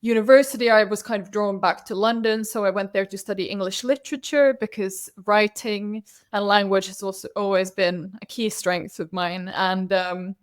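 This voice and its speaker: A woman's voice: mid-range voice, steady tone, normal speed, few pauses, smooth. Woman guest, native Swedish speaker, chatty storyteller, mid-range voice. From Finland. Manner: chatty and anecdotal, with frequent restarts. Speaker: podcast guest, CEO ghostwriter. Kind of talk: casual interview chat.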